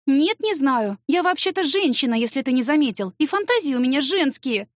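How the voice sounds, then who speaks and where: Lady doing a quick-tempered mom in a romance audiobook, high and really animated. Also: no echo. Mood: indignant. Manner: expressive, exclamatory, stammering when flustered. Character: feisty, flustered mother and secretary, quick-tempered, tender.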